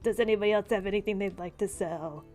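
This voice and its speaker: A woman's voice: medium pitch, fast talker, a little mellow. A woman acting as game master, narrating and bantering casually in a tabletop roleplaying game. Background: music.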